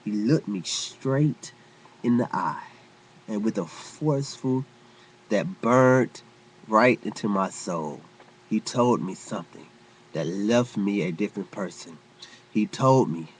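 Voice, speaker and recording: A man reading an inspirational first-person story aloud as a storyteller, with earnest, deliberate phrasing and dramatic pauses. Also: fairly low pitch, slight background noise, poor audio.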